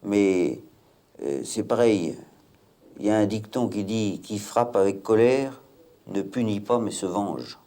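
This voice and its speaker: A man from Maine-et-Loire, master of a hunting pack, explaining things at a measured pace with frequent hesitations in a documentary interview. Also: fairly low pitch, mellow. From Maine-et-Loire, France.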